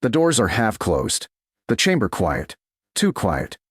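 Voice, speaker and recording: A man's voice: on the low side, huge pitch swings, takes it slowly, breathy edge, no echo, studio quality. A man narrating a romance story as a scripted audiobook.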